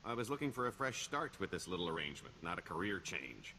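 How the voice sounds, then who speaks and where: A man's voice: low-pitched, sing-song, fast, bright, forceful tone, slight background noise, exaggerated voice. A man playing a roguish middle-aged career criminal in a video game, wry and boastful, with a wheedling, theatrical sarcasm. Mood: sly, persuasive.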